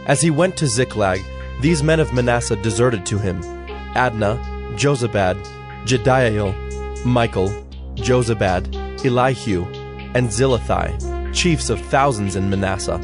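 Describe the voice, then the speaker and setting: A man narrating dramatized scripture in a solemn, measured, formal way. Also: lowish voice. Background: music.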